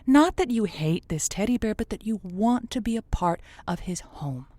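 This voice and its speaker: A woman playing a blunt but reassuring call-in advice host in a semi-improvised audio drama, speaking deliberately and emphatically. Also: mid-range voice, expressive, darkish tone, no room sound, lightly acted.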